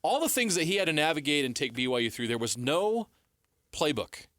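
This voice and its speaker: An American man co-hosting sports radio, giving measured, explanatory analysis in a conversational style on a close studio mic. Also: fairly high pitch, very animated, a bit bright, no echo. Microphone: close studio broadcast mic.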